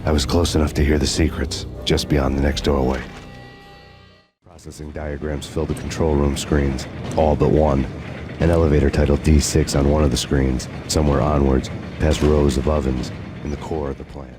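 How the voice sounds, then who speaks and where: A deep, weary noir detective. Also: male voice, moderate pace. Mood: grim. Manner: flat, grim, world-weary delivery. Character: brooding male detective, hardened and weary. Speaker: narrator and protagonist detective. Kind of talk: hardboiled noir narration. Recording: game cutscene with loud music behind.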